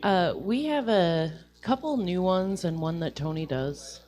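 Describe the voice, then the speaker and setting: A woman's voice: on the low side, measured pace, a little mellow. A woman musician talking casually as a guest on a show and introducing songs.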